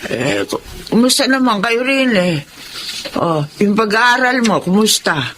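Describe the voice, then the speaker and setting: A woman's voice: a little high, very animated, a little slow, fairly bright tone, a little hiss or hum. A woman playing an old, fretful but caring grandmother with a Filipino accent, stammering and repeating herself in a radio drama. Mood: concerned. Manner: stammering, repetitive, exasperated. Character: scolding, caring old grandmother living on a pension.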